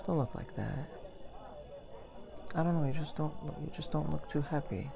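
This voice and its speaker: A young man playing a caring boyfriend in an ASMR roleplay, soft-spoken, hesitant and reassuring. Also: on the high side, moderate pace, vocal fry, background noise. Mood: concerned, reassuring. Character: caring, gentle young boyfriend comforting his partner. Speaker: roleplay voice performer. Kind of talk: ASMR boyfriend roleplay, intimate casual talk. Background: party and night ambience.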